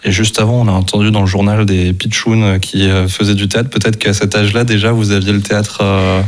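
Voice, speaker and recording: A man's voice: on the low side, little pitch movement, fairly quick. A man hosting a conversational radio interview, asking polite questions in a slightly hesitant way.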